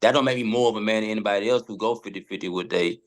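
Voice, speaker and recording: American male, deep register, loose hesitant conversational delivery, repeats words as he goes. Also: very fast. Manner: informal, hesitant, circles back over words. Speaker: podcast guest. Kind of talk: casual discussion.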